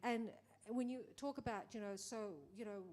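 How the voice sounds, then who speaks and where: A middle-aged woman producer speaking in a conversation, animated, acting out quoted exchanges, with many restarts. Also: a little high, moderate pace. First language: English. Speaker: film producer. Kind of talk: panel conversation.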